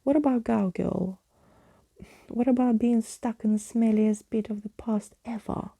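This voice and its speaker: A woman's voice: on the high side, measured pace, gravelly, dark tone, dry recording. A woman voicing a grumpy, impatient young girl in a storybook, snappy and scornful.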